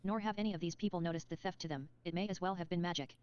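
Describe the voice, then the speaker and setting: A woman reading scripted story narration in a measured, articulate storybook manner. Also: lowish voice, darkish tone, smooth, highs cut off, lightly acted. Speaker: narrator of character lore.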